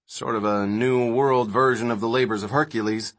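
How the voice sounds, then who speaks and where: A man's voice: medium pitch, fairly even intonation, moderate pace, close to an everyday voice. A man voicing an intense, opinionated scientist in an audiobook, lecturing impatiently with emphatic, rhetorical phrasing. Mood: explanatory.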